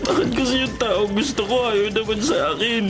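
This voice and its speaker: A man's voice: high-pitched, normal speed, close to an everyday voice. An actor playing a drunk, lovesick, melodramatic young man in a radio drama, slurred and whiny. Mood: whiny, self-pitying. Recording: loud music behind the voice.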